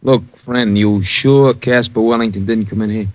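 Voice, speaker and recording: A man's voice: fairly low pitch, fairly even intonation, moderate pace, no echo, lightly acted. An actor playing a cold, threatening gangster who talks in tough-guy slang. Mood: menacing. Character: menacing male gangster with a gun. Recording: dull old radio recording.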